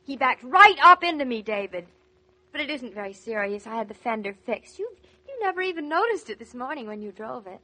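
A very bright, high-pitched young newlywed wife in a 1940s radio drama. She is coy and artless, wheedling her way along and stubbornly sure she is right. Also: sing-song, fairly quick, heavily acted, rough recording. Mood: defensive, indignant.

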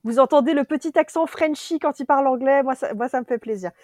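A French woman co-hosting a casual podcast, chatty and animated, laughing often as she reviews a series. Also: sits high, quick pace, dry recording, studio quality. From France.

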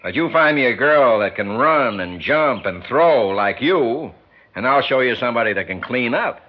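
An actor playing a paunchy, cigar-smoking sports promoter in a 1960s radio drama, persistent and full of slangy sales patter. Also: male voice, medium pitch, animated, moderate pace, lightly acted. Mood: persuasive. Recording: muffled, slightly noisy old radio recording.

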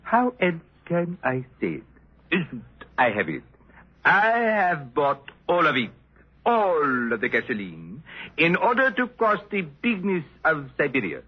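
An actor playing a sly, haughty French race driver with a French accent and theatrical, deliberate delivery in a 1950s radio drama. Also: male voice, a little high, huge pitch swings, full character voice. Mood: sly, smug. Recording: muffled, rough 1950s radio recording.

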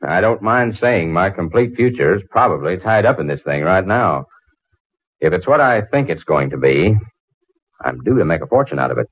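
Deep-voiced earnest inventor, muffled vintage radio. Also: male voice, lively intonation, moderate pace, slightly rough. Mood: earnest, hesitant. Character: earnest, absorbed, slightly awkward male inventor. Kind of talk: 1940s radio drama acting. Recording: muffled old 1940s radio recording.

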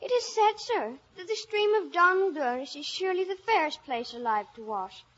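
A woman's voice: very high-pitched, animated, normal speed, fairly bright tone. A woman voicing a cheeky, earnest little Irish village boy with quaint sing-song speech in a radio drama. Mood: cheeky, solemn. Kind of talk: 1950s radio drama acting. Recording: muffled vintage radio recording.

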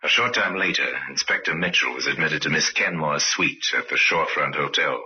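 Very deep, gravelly crime narrator. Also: male voice, a little fast, pushed and bright, exaggerated voice. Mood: grave, suspenseful. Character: grave male storyteller of a crime tale. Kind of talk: dramatic old-time radio mystery narration. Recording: dull old radio recording.

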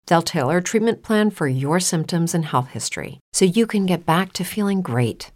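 A woman reading a scripted commercial in a calm, reassuring way. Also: on the low side. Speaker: ad announcer.

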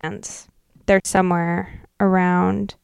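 A woman's voice: lowish voice, level tone, slow pace, gravelly, clear, resonant tone, no room sound. A woman co-hosting a podcast, casually chatting and reading aloud in a chatty way. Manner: chatty, uses like and you know often. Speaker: podcast co-host. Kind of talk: casual chat with dramatic readings of old notes.

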